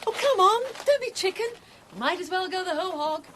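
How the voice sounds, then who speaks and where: An actress playing an adventurous, teasing wife in her mid-thirties in a radio drama, breezy and expressive. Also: very high-pitched, fairly quick, a little hiss or hum, full character voice. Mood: playful, eager.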